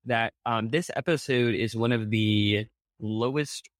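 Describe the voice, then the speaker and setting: A man co-hosting a podcast, chatting casually in an excitable way that spikes upward whenever something outrages him. His voice sits at a middle pitch. The recording is close and dry. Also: unhurried, no room sound. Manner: many fillers and restarts. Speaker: podcast co-host. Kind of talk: casual chat about a TV episode.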